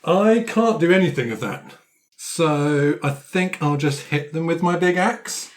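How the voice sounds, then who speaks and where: Blunt, exasperated fighter played by a man, higher-pitched. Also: unhurried, close to an everyday voice. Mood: blunt, confident. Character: blunt, exasperated axe-wielding male fighter, houseproud and bossy.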